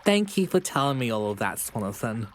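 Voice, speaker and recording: Animated man as a measured frog therapist. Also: medium pitch, a little hiss or hum, natural voice. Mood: measured, frank. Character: calm, professional male frog therapist. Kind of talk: audio drama dialogue.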